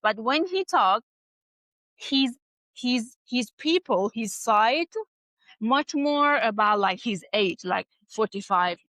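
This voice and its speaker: A woman's voice: a little high, slow, dry recording, rough recording. Non-native English woman in her thirties. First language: not English. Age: 30s. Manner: non-native grammar, animated, repeats words when searching. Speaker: podcast co-host. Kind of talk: casual chat.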